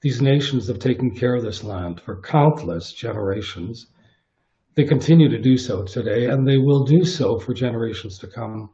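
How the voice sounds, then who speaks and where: A middle-aged man from Canada hosting a theatre interview, measured and earnest, a little halting when he talks off script. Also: mid-range voice, warm tone. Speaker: artistic producer and host. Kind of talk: formal introduction then conversational interview. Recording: dull lo-fi audio with faint music.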